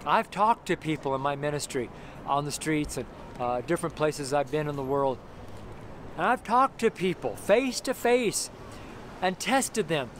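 Middle-aged male street preacher, slightly high-pitched, loose and repetitive delivery, close camera outdoors with clear street noise. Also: lively intonation, a little slow, fairly bright tone. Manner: rambling, repeats himself, many restarts and fillers. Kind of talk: informal outdoor sermon to camera. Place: outdoors on a city sidewalk by a brick wall. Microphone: camera close up, handheld. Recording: noisy outdoor street recording. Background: street traffic noise.

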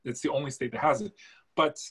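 A middle-aged man, a health expert, answering on a video panel in quick answers that list facts. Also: medium pitch, fairly even intonation, bright, forceful tone, breathy edge. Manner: quick, fact-listing answers. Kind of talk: panel discussion. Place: home room. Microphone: video call.

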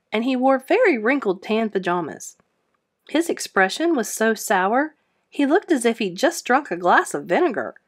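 A woman narrating a children's book aloud in an expressive storybook style. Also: fairly high pitch.

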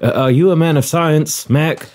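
A man's voice: on the high side, moderate pace, a little mellow, lightly acted. A man voicing a courteous, genial commander conducting an interview in a comedy role-play. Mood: official, approving. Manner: genial, formal, folksy politeness.

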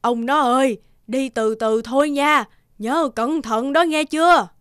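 A woman playing a kindly, fretful old village wife near seventy in a cartoon folktale, gentle and fussing. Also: sits high, a little slow, no room sound, clean studio sound. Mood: caring, cautioning. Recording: clear music underneath.

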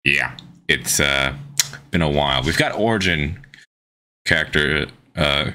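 A man's voice: a bass voice, unhurried, pushed and bright. A young man giving casual, laid-back reaction commentary as a video host in a small room. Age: young adult. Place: small indoor bedroom. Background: film soundtrack music.

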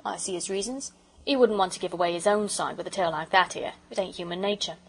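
A woman's voice: fairly low pitch, quick pace, husky, poor audio. A woman voicing a proud, sulky working-class English railway porter in a storybook, with colloquial speech and dropped aitches. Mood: opinionated.

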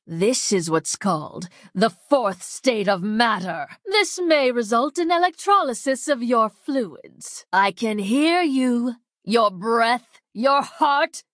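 A woman's voice: a little high, expressive, takes it slowly, no room sound, clean studio sound. An actress playing a coldly arrogant female mad scientist villain in a video game, with a clinical, mocking manner and precise diction. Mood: clinical, sadistic. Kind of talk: theatrical video game voice lines.

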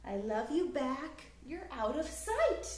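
A woman in her thirties reading a picture book aloud to young children in an expressive, sing-song way, recorded in a living room. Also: high voice, slow, echoey room, a little hiss or hum. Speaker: children's story time host. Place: small living room, indoors on a couch.

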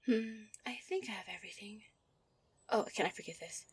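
Shy fairy sweetheart played by a woman for ASMR roleplay: soft, teasing, flustered, medium pitch, birds and ambient noise behind. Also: brisk, lightly acted. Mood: thoughtful. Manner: soft, coy, easily flustered. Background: birdsong and outdoor ambience.

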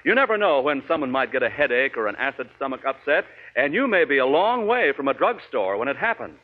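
Animated 1940s radio ad man, tinny sound. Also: mid-range voice, brisk. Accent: American. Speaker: radio announcer. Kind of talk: 1940s radio commercial read, scripted pitch. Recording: tinny narrow-band 1940s radio recording.

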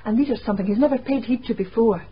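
An actress playing an earnest, troubled young Scottish niece in a radio drama. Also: female voice, fairly high pitch, very fast, lightly acted. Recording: muffled radio drama, faint noise.

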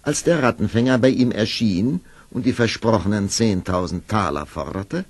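A man narrating a children's fairy-tale audio play in an expressive storytelling manner. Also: lowish voice, normal speed, no room sound. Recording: vintage audio play production.

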